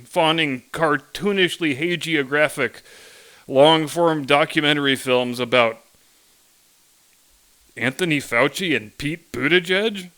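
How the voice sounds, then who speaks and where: An American man delivers a scripted satirical monologue as a host. His voice is bright, forceful and a bit high for a man. He is sardonic and leans hard on his words. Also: clean tone. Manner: sardonic, emphatic. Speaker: podcast host.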